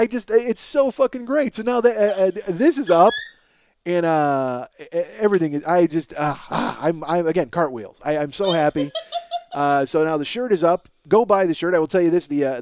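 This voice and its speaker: A man with a somewhat high voice delivers a comedic podcast monologue. He talks casually and swears freely, exaggerating with animated emphasis. He acts out both halves of the conversations he recounts. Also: moderate pace, a little hiss or hum. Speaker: podcast host.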